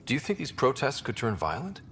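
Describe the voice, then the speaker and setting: Game hero: young explorer-leader, earnest, lively mid-range voice, faint rumble behind. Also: male voice, brisk. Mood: concerned. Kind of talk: video game dialogue acting.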